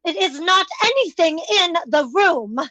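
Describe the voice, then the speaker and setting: A woman's voice: very high-pitched, lively intonation, measured pace, bright, forceful tone, full character voice. A woman playing a distraught, haunted sister in an old-time radio ghost drama, tremulous and insistent. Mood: distressed.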